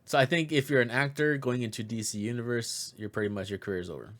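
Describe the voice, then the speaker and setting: A man co-hosting a show in casual chat, joking and quick to laugh. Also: mid-range voice, a bit bright. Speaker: co-host of a pop culture show.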